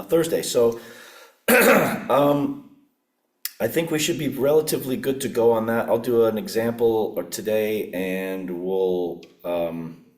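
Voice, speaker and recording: Middle-aged male lecturer, husky and croaky, lowish voice, roomy classroom sound picked up from a distance. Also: a little mellow. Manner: unhurried, pausing with fillers. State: croaky, clearing his throat often. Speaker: physics lecturer. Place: classroom at a whiteboard. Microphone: room camera at a distance. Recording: echoey classroom from far off.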